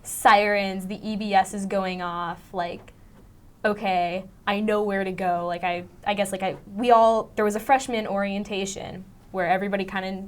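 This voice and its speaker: A woman's voice: mid-range voice, level tone, moderate pace. A young woman, a student actor, chatting in a studio interview in loose, informal speech full of fillers. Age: teens to young adult. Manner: informal, filler-heavy youthful talk. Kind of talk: casual interview chat.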